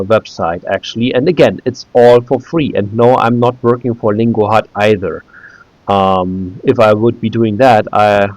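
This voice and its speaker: A man's voice: medium pitch, darkish tone, no echo, poor audio. A German man in his thirties, a native German speaker talking in English, walking through a website casually with frequent fillers and restarts. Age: 30s. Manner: hesitant, many "uh" fillers and restarts. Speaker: video creator. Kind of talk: casual screen-recorded walkthrough.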